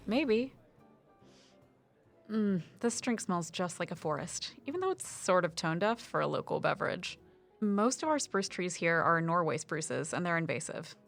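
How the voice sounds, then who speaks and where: A woman's voice: fairly low pitch, lively intonation, normal speed, vocal fry, fairly bright tone, faint music behind. An actress playing a knowledgeable woman conservation officer and sleuthing sidekick in a naturalistic audio drama, informative and wry. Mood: wry, teasing.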